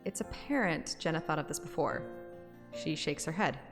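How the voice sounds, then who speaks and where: A woman's voice: deep voice, lively intonation, normal speed. A woman streaming a story game, moving between playful, self-mocking chat and dramatic read-aloud narration. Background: game music.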